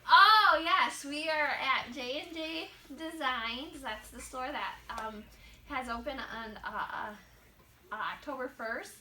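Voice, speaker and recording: A woman who owns a craft shop, co-hosting a casual chat and listing items slowly with hesitant fillers. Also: high voice, sharp tone, slight background noise. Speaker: shop owner and co-host. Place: craft shop room indoors. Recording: roomy and distant from the mic.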